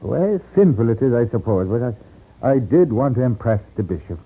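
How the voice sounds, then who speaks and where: Radio western priest: hesitant, self-reproaching, raspy voice, lively pitch, thin vintage sound. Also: male voice, moderate pace. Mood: rueful. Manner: hesitant, rueful, self-chiding. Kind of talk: old-time radio drama acting. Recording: tinny, band-limited old radio recording.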